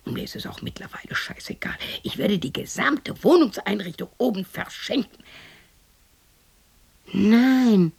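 An actress playing a lonely, bitter widow of sixty in a radio play, talking to herself in a husky, creaky voice that often drops to a whisper, scolding and questioning herself. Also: female voice, medium pitch, expressive, measured pace, a little mellow, full character voice. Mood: brooding, self-scolding. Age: 60s. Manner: talks to herself, scolding and questioning, abrupt shifts.

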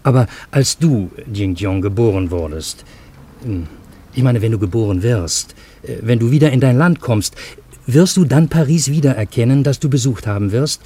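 A man's voice: medium pitch, animated, normal speed, mellow, breathy edge. An actor playing a genial, easily bewildered Parisian in a German radio play, urbane and wry in his literary storytelling. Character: genial, bewildered Parisian man narrating a fantastic encounter.

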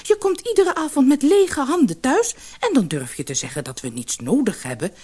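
A woman's voice: high-pitched, very animated, normal speed, dark tone, husky, pitched above the performer's own voice, exaggerated voice. A woman voicing a grumpy, demanding old fisherman's wife in a storybook, scolding and nagging. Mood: angry, bossy. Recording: noisy old cassette audiobook.

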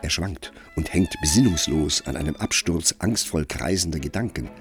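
Very deep-voiced male radio play narrator. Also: faint music behind. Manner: measured, grave, expressive.

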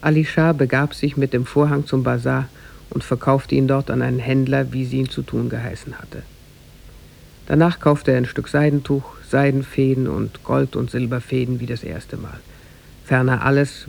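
A man narrating a scripted tale for 1950s German radio in a measured, formal storytelling manner, with a gravelly, husky voice. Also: mid-range voice, fairly even intonation, a little mellow.

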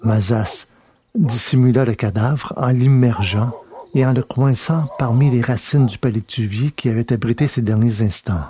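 A man narrating a scripted dramatic story in a measured, literary delivery. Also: medium pitch, mellow. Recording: noticeable background noise.

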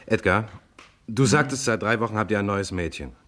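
A man acting a composed investigator in a radio crime drama. His voice is very low, and he questions in a measured, inquisitive, matter-of-fact way. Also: fairly bright tone, lightly acted. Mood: calm, probing. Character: calm, probing investigator, friend of the family.

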